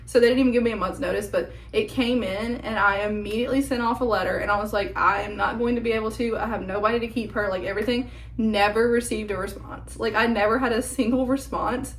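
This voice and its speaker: A young woman chats to the camera at home in a rambling, filler-heavy way, her voice hoarse and nearly gone. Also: on the high side, steady tone, fast talker, echoey room. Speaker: vlogger. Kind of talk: casual chat to camera. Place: at home indoors.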